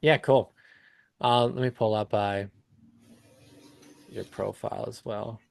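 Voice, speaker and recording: A man's voice: on the low side, steady tone, slow pace, gravelly. A man talking casually as a coach on a live call, explaining things step by step with examples. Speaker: business coach hosting a client call.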